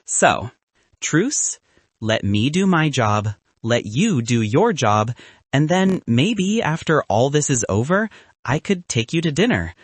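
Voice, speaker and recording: A man's voice: medium pitch, big pitch swings, slow pace, no room sound. A man reading the part of a cynical, self-deprecating best man in an audiobook romance, wry yet earnest and confessional. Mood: hopeful, nervous. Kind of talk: audiobook character dialogue.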